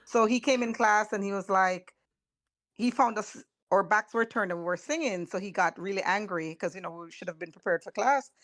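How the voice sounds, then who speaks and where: A middle-aged woman with a Jamaican accent and a naturally hoarse voice, casually telling a school memory as a guest. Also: mid-range voice, moderate pace, a bit bright, no room sound. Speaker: guest, former student. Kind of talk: casual storytelling on a video call.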